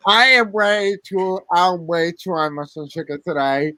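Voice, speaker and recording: A man with a fairly high, bright, sharp voice hosts a live tarot chat and reads out viewer comments. He talks in an excitable, giggly way, and the sound is dry and close. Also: a little slow, no room sound. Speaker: host of the channel, tarot reader.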